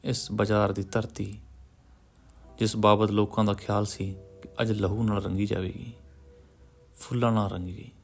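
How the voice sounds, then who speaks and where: A man reads a story in a solemn, measured manner. His low voice has a heavy gravelly fry and stays fairly even in pitch. He leaves pauses between short phrases, with music playing behind him. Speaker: audiobook story narrator. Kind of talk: scripted literary story narration. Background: background music.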